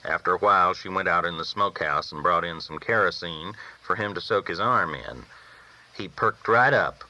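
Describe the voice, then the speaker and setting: A man's voice: low voice, animated, moderate pace, pushed and bright, dull audio. A man reading a first-person novel aloud as a folksy storyteller. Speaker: audiobook reader.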